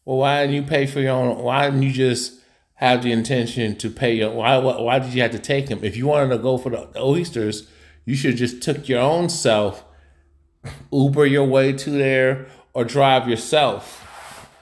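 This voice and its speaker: A man hosts a live reaction stream and talks over a clip in a blunt, scolding rant. He is mid-pitched and keeps stumbling into repeated words as he goes. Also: fairly even intonation, moderate pace. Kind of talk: ranting commentary over a played clip.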